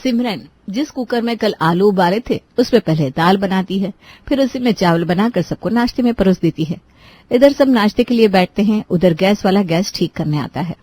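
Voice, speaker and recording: A woman's voice: mid-range voice, lively intonation, normal speed, a little mellow. A woman reading scripted narration for a children's moral story.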